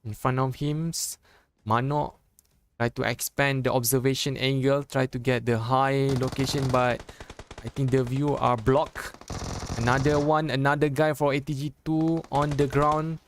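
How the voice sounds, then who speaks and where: A young man from Malaysia with a Malaysian accent, a Malay native speaker casting a live mobile esports match in English, chatty and joking, with halting phrasing and frequent restarts. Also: medium pitch, level tone, slight background noise. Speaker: esports caster. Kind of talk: live esports game commentary.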